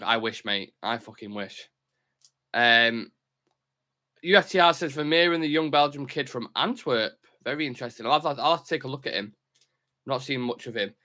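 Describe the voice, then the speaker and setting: A man's voice: mid-range voice, sharp tone. Blunt man hosting a fan stream. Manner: blunt, opinionated, swears casually. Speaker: host of a football fan show. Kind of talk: casual live-stream chat reading comments.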